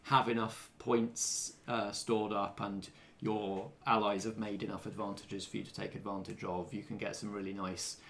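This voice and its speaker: A man's voice: fairly low pitch, flat delivery, normal speed, hardly any pauses, fairly bright tone, a little hiss or hum. A man chatting casually as a game master, explaining things in a positive way. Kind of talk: casual group discussion.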